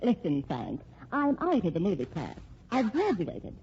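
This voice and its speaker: Casting: haughty, practical young woman, radio drama, mellow but very animated, cutting, dull old sound. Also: medium pitch, moderate pace, slightly rough, exaggerated voice. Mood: cool, disdainful. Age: young adult. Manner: disdainful, cutting, breezy. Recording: muffled old radio recording, faint noise.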